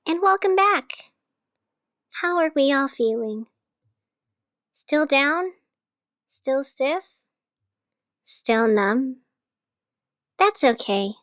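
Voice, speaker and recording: A woman's voice: high-pitched, fairly bright tone, no room sound, studio quality, heavily acted. A woman playing a wry, chatty small-town radio host, narrating casually in a scripted audio drama over music. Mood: brightly upbeat.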